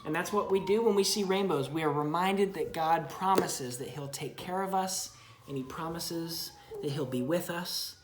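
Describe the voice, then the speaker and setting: Low voiced lady reading a bible story to a little kid, expressive, echoey room. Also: normal speed, crowd noise. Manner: expressive, asking the child simple questions. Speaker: storybook reader to a young child. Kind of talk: children's Bible story read aloud with chat.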